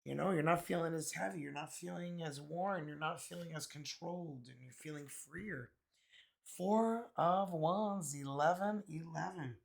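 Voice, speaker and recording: A hoarse man from Canada with a raspy voice, chatting casually through a tarot card reading with many fillers. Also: fairly high pitch, measured pace. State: hoarse, recovering from illness. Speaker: tarot reader.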